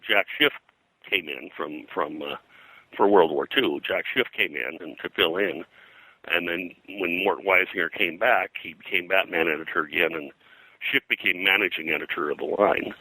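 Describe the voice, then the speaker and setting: An older man, an interview guest, recounting history in a halting, discursive, scholarly way. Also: sits low, pushed and bright, no room sound, poor audio. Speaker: guest, comics and pulp fiction historian.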